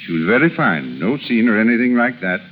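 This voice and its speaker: Ardent, authoritative man of forty-six, lively intonation, vintage radio romance, narrow phone-like sound. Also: fairly low pitch, brisk. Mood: troubled. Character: ardent, commanding forty-six-year-old wealthy businessman in love. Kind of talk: 1930s radio drama acting. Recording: tinny, hissy 1930s radio recording.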